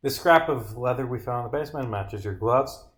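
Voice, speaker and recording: A man reads a dogged visual novel detective in a medium-pitched voice. The delivery is matter-of-fact and accusing, pressing the suspect with evidence. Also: fairly quick, a bit bright, close to an everyday voice. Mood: firm, accusing.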